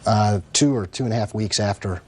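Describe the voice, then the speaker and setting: Man defendant, low voice, halting and unsure, lots of uh, TV courtroom. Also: a little mellow, slight background noise. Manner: hesitant, full of uh, short answers. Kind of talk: courtroom testimony. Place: TV courtroom set.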